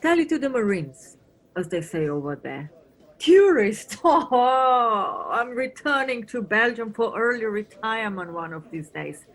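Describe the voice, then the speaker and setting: A woman's voice: fairly high pitch, expressive, measured pace, low-quality recording. A woman acting in an audio play as an irritable, weary Flemish police officer, dismissive and sardonic. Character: gruff, irritable female police officer from Flanders, weary, scornful of tourists.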